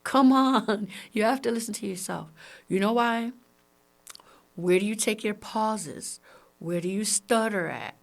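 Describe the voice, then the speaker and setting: A woman's voice: medium pitch, measured pace, creaky, pauses often. Brooklyn woman, emphatic podcast talker. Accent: Brooklyn New York. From Brooklyn, New York, United States. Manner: emphatic, conversational, punctuated with rhetorical questions. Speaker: podcast host and comedian. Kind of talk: casual solo podcast talk.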